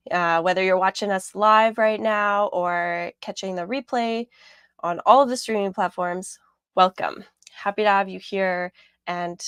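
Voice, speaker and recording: A woman with a raspy voice hosting a casual radio talk show, rambling a little with fillers and repeated words. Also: medium pitch, dry recording, studio quality.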